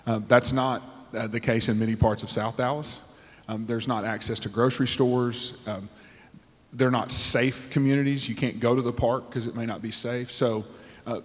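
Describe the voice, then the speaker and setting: A man on a discussion panel, speaking in a measured way with frequent ums, into a handheld mic on a theater stage. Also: medium pitch. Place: large theater stage with audience. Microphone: handheld microphone. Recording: long hall reverb from a theater.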